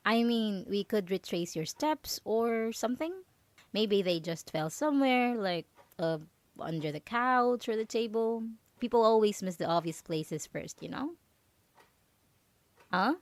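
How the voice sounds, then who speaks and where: A possessive yandere roommate, played by a woman in an ASMR audio drama, speaks in a dry, close sound. She is falsely sweet and anxiously controlling, coaxing in halting phrases with a nervous air of innocence. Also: mid-range voice, expressive, dry recording, lightly acted. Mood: nervously evasive.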